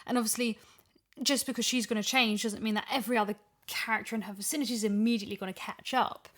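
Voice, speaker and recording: A woman's voice: fairly high pitch, steady tone, quick pace, fairly bright tone. A woman in a casual podcast discussion, making her case emphatically and reasoning it through as she talks. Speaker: podcast guest and voice actor. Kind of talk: casual discussion of an audio drama.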